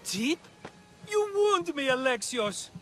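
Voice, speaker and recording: An actor playing a scheming, flattering, tight-fisted merchant in a video game, with a theatrical, wheedling manner. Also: male voice, very high-pitched, slow pace, slight background noise, heavily acted. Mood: mock wounded. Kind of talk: video game cutscene acting.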